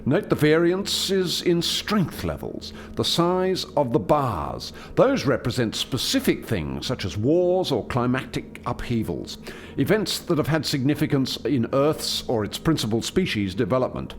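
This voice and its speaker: A man's voice: medium pitch, very animated, measured pace, a little hiss or hum. An actor playing an earnest alien scientist in a radio play, hesitant and deferential as he explains and defends his work. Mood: calm, explanatory.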